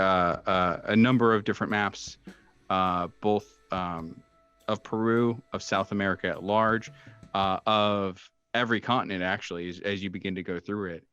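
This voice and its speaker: A man running a horror tabletop game as game master, improvising his descriptions haltingly and searching for words. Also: low-pitched, steady tone, a bit bright, clear, resonant tone. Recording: dull, muffled sound with music behind. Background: background music.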